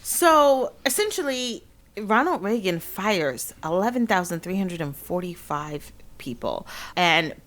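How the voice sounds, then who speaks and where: A woman's voice: medium pitch, very animated, measured pace, a bit bright. Female podcast co-host, emphatic delivery, interjects with murmurs of agreement. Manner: emphatic, gives frequent listening murmurs. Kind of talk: conversational history podcast discussion.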